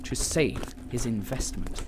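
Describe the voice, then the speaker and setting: A man's voice: mid-range voice, unhurried, a little mellow, faint music behind, close to an everyday voice. A man playing a grandiose, solemn narrator, delivering scripted dramatic narration for an audio drama. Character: grandiose, solemn propaganda-style male narrator.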